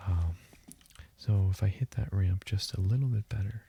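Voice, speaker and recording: A man giving calm, soothing game commentary in a hushed, deliberate voice that drifts toward a whisper, as if for sleep. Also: deep voice. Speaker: gaming video host. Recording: faint hiss with keyboard and mouse clicks. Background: keyboard typing and mouse clicking.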